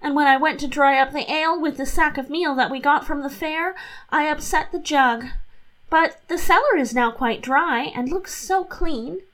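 A woman reading a storybook, voicing a scatterbrained, cheerful young housewife in a high, innocent, sing-song voice. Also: normal speed, fairly bright tone, poor audio. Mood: innocently explaining.

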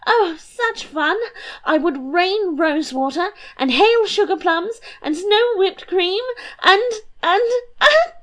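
A woman voicing a giddy, whimsical young princess who talks in high, excited bursts. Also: takes it slowly, full character voice.

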